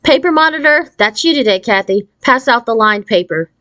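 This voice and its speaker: Woman acting a brisk, high-pitched schoolteacher. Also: animated, muffled recording. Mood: brisk instructing. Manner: bright, instructive, authoritative. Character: brisk, beaming woman schoolteacher commanding her fifth-grade class.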